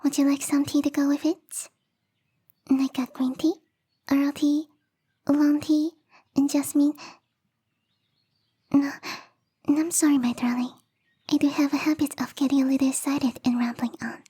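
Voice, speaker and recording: A woman voicing a bubbly, lovestruck young female snow spirit in a soft, breathy ASMR roleplay whisper, coy and rambling. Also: high voice, flat delivery, unhurried, long pauses. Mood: eager, doting.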